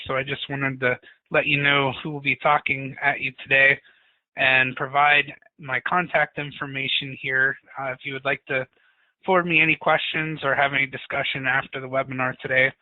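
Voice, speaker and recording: Man with thin phone-line audio. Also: medium pitch. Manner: informal, self-described monotonous. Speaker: presenter. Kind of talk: technical webinar presentation. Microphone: webinar call audio. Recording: tinny phone-like webinar audio.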